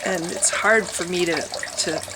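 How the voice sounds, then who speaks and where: A woman's voice: fairly low pitch, measured pace, very noisy. A middle-aged woman from Wisconsin with a nasal voice, rambling candidly in a personal vlog monologue with many restarts. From Wisconsin, USA. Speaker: vlogger. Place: her home with water fountains running.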